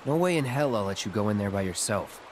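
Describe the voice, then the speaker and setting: A man's voice: lowish voice, animated, fast, a little hiss or hum, natural voice. An actor playing a guarded, terse young mercenary swordsman who is awkwardly protective, in a video game cutscene. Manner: terse, hesitant, understated.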